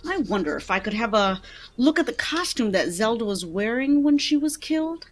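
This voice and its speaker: A woman playing a brisk, businesslike detective with clipped, decisive delivery in an old-time radio play. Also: on the high side, sing-song. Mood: thoughtful, businesslike.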